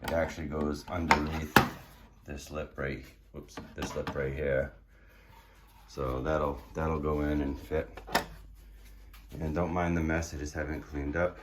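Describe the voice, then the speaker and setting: A hoarse man casually walking through his build in a garage workshop, explaining with many fillers and restarts. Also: very deep voice, little pitch movement, measured pace, heavy background noise. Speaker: car builder showing his project.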